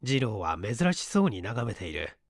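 A man reading a mystery story as scripted literary narration, in a measured storytelling manner. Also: on the low side, big pitch swings, gravelly, no echo, studio quality. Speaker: audiobook reader, stage actor.

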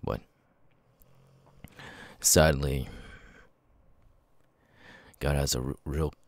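An extremely deep-voiced, troubled ex-convict, acted by a man in an ASMR roleplay. He speaks slowly and haltingly, apologetic and stammering, in a very dry, close recording. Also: fairly even intonation, dry recording, full character voice. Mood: apologetic, rueful. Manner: halting, stammering, sudden loud tic outbursts. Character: apologetic, troubled male ex-convict with involuntary tics. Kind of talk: ASMR audio roleplay, halting confiding monologue.

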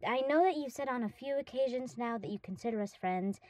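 A woman's voice: on the high side, a little fast, a little mellow, no echo, lightly acted, rough recording. A woman playing a hyperactive, affectionate young dog-girl roommate in a roleplay audio drama, gushing and rambling eagerly to the listener. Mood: playful, confessing.

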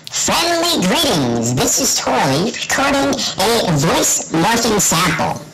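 Tiny cheerful game-avatar voice, pitch-morphed high and creaky, slow, very noisy clicky playback. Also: male voice, very animated, exaggerated voice. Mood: cheerful greeting.

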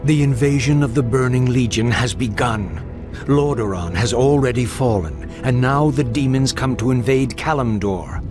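A mysterious prophet of doom from a fantasy game, played by a male actor. He speaks at a middle pitch with stern, theatrical gravity while music plays underneath. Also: normal speed, close to an everyday voice. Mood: foreboding. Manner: grave, portentous, theatrical. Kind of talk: video game voice acting.

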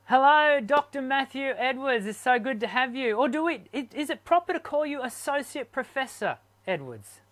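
A man's voice: very high voice, expressive, normal speed, few pauses, a bit bright. Chatty, upbeat male host on a webcam. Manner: enthusiastic, chatty with many fillers. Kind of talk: live online interview. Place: home studio. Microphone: webcam on video call.

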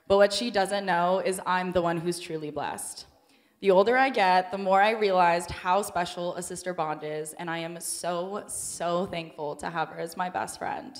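A young woman gives a wedding toast, laughing often between her lines. Her voice sits mid-range, and it rings out with a long reverb. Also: medium pitch. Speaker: maid of honor giving a toast, younger sister of the bride.

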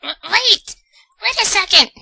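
A woman voicing a small, excitable, indignant schoolboy who whines and protests in an anime fan dub. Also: extremely high pitch, measured pace, gravelly, full character voice. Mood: exasperated, alarmed. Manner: whiny, protesting.

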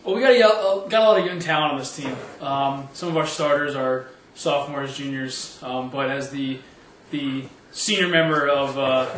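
A man's voice: mid-range voice, fairly bright tone, recorded from a distance, slight background noise. A man playing a senior player, answering questions earnestly with some fillers. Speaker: senior player at a press conference. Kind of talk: comic press conference skit. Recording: echoey room, heard from afar.

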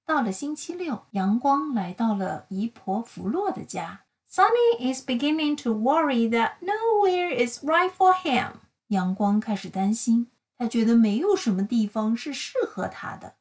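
Slow, higher-voiced woman reading a children's story. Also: big pitch swings, a little mellow. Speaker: storyteller reading a picture book. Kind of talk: slow bilingual children's story read-aloud.

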